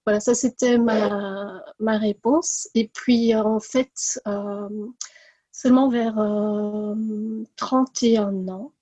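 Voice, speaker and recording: A woman in her forties from India, telling her own story as a podcast guest, pausing often with fillers and restarts. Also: mid-range voice, mellow, no echo. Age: 40s. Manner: hesitant, many fillers and restarts. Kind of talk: personal testimony.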